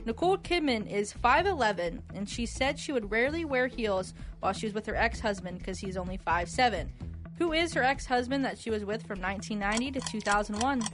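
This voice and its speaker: A woman presenting a quiz on a radio show, reading out questions with light banter. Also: mid-range voice. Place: radio studio. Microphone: close studio microphone. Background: music bed.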